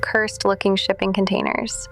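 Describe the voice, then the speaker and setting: An actress playing a dry, deadpan investigator reporting findings in a noir audio drama. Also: female voice, mid-range voice, moderate pace, soft background music, lightly acted. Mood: dry, grave.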